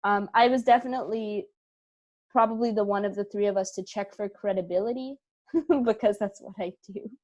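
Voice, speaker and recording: A woman's voice: mid-range voice, normal speed, a little mellow, highs cut off. A woman speaking as an interview guest on a video call, casually thinking aloud with little asides. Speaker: interview guest, theater company member.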